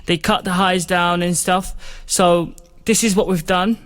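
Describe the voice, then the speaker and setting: A man's voice: high-pitched, steady tone, unhurried, fairly bright tone. A man hosting a music production tutorial in an informal, rambling, chatty way, explaining things step by step. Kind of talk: casual step-by-step software tutorial.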